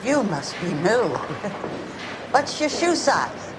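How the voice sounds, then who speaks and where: Over loud ambient noise, a sassy attendant drawls slowly in a fairly low voice. She is teasing and flirtatious, with a melodic swing to her lines in a period game. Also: very animated. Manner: teasing, unhurried. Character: sassy, flirtatious 1940s bowling alley attendant woman. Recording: very noisy bowling alley ambience.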